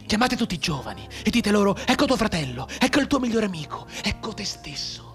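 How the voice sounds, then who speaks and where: Un attore che interpreta in un radiodramma un giovane reduce di guerra mutilato, amaro e sardonico, con tono declamatorio e appassionato. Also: male voice, high-pitched, very animated, fast talker, a bit bright. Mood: grim, insistent. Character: bitter, maimed young war veteran, anguished and sardonic. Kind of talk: dramatic radio theatre monologue. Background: music under the voice.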